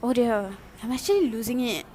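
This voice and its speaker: A woman's voice: a little high, brisk, natural voice. A woman playing a nervous, wary young renter with a wry streak in an amateur radio drama, with some noise behind her. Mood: bewildered, wry. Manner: hesitant, expressive, wry.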